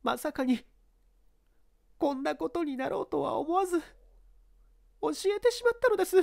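A young woman performed by a female reader gives detailed testimony in a mystery story. Her voice is high and lively in pitch, with polite, formal phrasing. The sound is dry and composed. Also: normal speed, creaky, dry recording. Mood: earnest, explaining. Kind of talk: dramatized mystery story reading.

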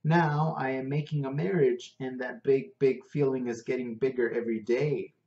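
A man voicing a gentle, small young taxi driver with an Indian English accent, talking in an earnest, halting way. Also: mid-range voice, fairly even intonation, lightly acted. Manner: earnest, halting, open-hearted. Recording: roomy, slightly dull recording.

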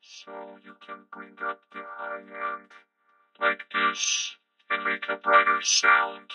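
A man demonstrating a plugin as a monotone robotic synthesizer voice through a vocoder filter. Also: slow pace, faint music behind, rough recording. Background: synthesizer tones carrying the voice.

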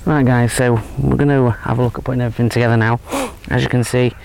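A man in his thirties giving a relaxed, hesitant fishing tutorial outdoors by a lake, with lots of fillers and restarts. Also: medium pitch, fast, creaky, poor audio. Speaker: angling instructor. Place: outdoors at a lakeside fishing spot. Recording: faint outdoor background noise. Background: faint outdoor ambience.

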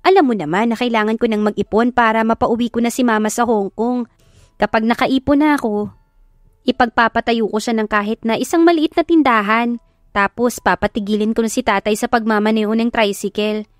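A woman with a Filipino accent voicing a bubbly, energetic young mascot performer in an audio drama. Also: fairly high pitch, brisk, no echo, clean studio sound, lightly acted. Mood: upbeat, determined. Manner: energetic, chirpy. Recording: background music under the voice.

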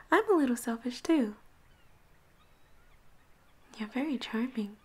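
Female pirate captain played by a woman, flirty, possessive, breathy, unhurried, whispery teasing, roleplay audio. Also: a little high, full character voice. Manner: teasing, drawn-out endearments, often drops to a whisper.

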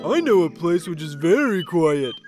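A woman's voice: fairly low pitch, very animated, normal speed, music in the background. A woman voicing a cheerful, boastful young panda in a children's cartoon, eager and reassuring.

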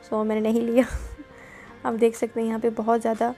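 A woman's voice: fairly high pitch, completely flat delivery, normal speed, dark tone, soft background music. A woman vlogger, chatting through a market tour and explaining prices. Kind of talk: casual voice-over narration.

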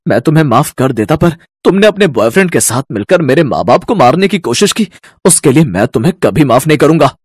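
A man's voice: on the high side, sing-song, brisk, slightly rough, no echo, clean studio sound, voice pushed up. A man voicing a betrayed young son in a cartoon, indignant and declamatory. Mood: outraged. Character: betrayed, outraged young adult son confronting his wife.

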